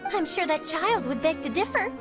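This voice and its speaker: High-pitched, perky young reporter. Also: female voice, expressive, normal speed, over music. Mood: bright, curious. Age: young adult. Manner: chirpy, enthusiastic, crisp phrasing. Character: eager, perky young female newspaper reporter. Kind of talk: video game character acting.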